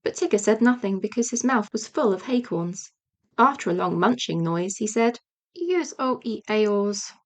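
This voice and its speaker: A woman's voice: medium pitch, normal speed. A woman narrating a children's audiobook as a scripted storyteller.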